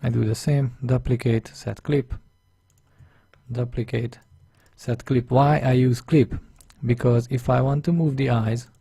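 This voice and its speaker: A man with a Hungarian accent talks through a digital drawing lesson, explaining each step as he goes. His voice is low-toned, gravelly and a little husky, with a fairly flat delivery. The recording is close and dry. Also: measured pace, no room sound. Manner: thinking aloud step by step, chatty and self-deprecating. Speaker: illustration instructor. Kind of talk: screen-recorded drawing tutorial voice-over.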